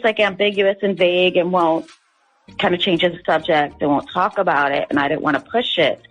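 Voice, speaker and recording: A woman phoning a radio show over background music. She talks casually at a middle pitch, rambling and hesitant as she explains herself. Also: slightly rough, dull audio. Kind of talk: casual chat.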